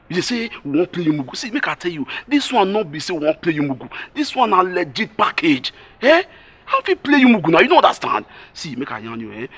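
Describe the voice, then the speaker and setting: A man with a Nigerian accent playing a smooth-talking travel scam agent in a comedy skit. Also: fairly high pitch, a bit bright, heavily acted. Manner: persuasive, coaxing.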